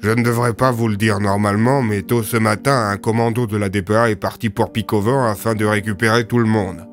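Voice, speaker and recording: A man voicing a blunt, weary police captain who talks gruffly and colloquially in an audio drama. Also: fairly low pitch, steady tone, fairly quick, soft background music, close to an everyday voice. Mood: confiding. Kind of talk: audio drama character dialogue.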